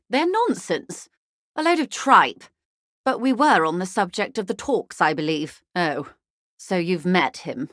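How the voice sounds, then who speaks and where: An actress playing a bourgeois corporate negotiator in a video game, polite and articulate, with a measured, drily condescending wit. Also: female voice, lowish voice, no echo, studio quality. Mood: drily amused. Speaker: senior labour negotiator. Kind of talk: video game dialogue voice acting.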